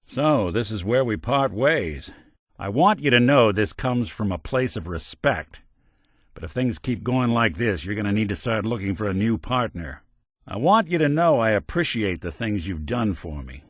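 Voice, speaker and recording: A man's voice: lowish voice, expressive, moderate pace, dry recording, studio quality. An actor voicing a world-weary male synth detective in a video game, a robot carrying an old cop's memories, talking in a hard-boiled drawl. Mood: stern. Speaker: companion character.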